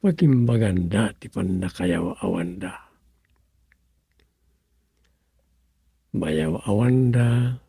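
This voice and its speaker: A man's voice: on the low side, vocal fry, warm tone, slightly rough, no room sound, full character voice. A man playing a scheming villager in an Ilocano radio comedy drama, musing and muttering with exclamations. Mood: musing, drowsy.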